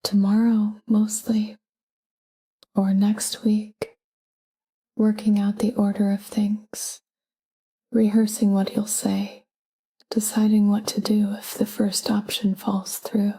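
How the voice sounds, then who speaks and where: A woman narrating a scripted sleep meditation in a calm, gentle, whispery voice with measured delivery. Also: mid-range voice. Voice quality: breathy, whispery. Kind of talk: scripted guided sleep meditation, soft spoken.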